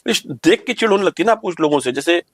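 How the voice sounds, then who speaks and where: A man's voice: high voice, lively intonation, fast, slightly rough, dry recording, clean studio sound. A man with an Indian accent hosting an opinionated podcast discussion, speaking emphatically with rhetorical questions and slipping into English. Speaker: podcast host.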